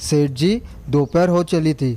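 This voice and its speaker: A man's voice: on the high side, slow pace, mellow. A man playing a naive, respectful cart driver in a moral story, speaking earnestly and deferentially. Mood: respectful, puzzled. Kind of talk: acted moral story dialogue.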